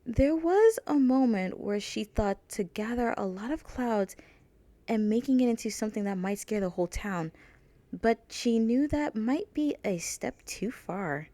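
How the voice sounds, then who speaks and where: A woman's voice: a little high, lively intonation, measured pace, dark tone, no room sound. Woman narrating a story, dry sound. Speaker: fan fiction author and narrator.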